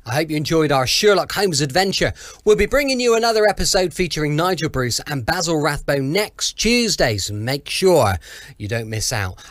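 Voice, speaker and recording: A man's voice: fairly high pitch, fairly bright tone, no room sound. A British man hosting a podcast, chatting casually and effusively to listeners. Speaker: podcast host.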